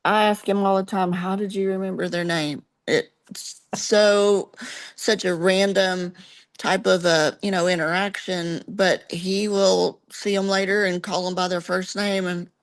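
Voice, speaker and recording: A soft-spoken American woman co-hosting a podcast, chatting gently and agreeably. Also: on the low side, steady tone, a little slow. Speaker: podcast co-host. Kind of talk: casual chat.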